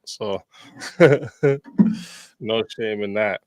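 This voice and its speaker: A man's voice: lowish voice, little pitch movement, extremely slow, clear, resonant tone, no echo. A man with a nasal voice hosting a stream, chatting casually, laughing often and joking about himself. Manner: laid-back, laughs often, self-deprecating. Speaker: stream host and debate moderator. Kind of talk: casual chat.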